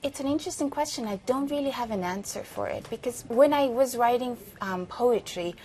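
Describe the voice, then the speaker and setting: A woman in her thirties, originally from Iran and a native Farsi speaker, answering questions in a broadcast interview in a thoughtful, measured way with hesitant fillers. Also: a little high, a little mellow. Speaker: author, interview guest.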